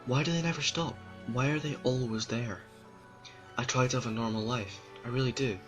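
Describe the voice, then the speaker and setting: Troubled young woman, anxious and pleading, raised pitch as a male narrator puts her on, music behind. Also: a little fast, close to an everyday voice. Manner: anxious, pleading monologue to herself. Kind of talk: fan audio drama reading.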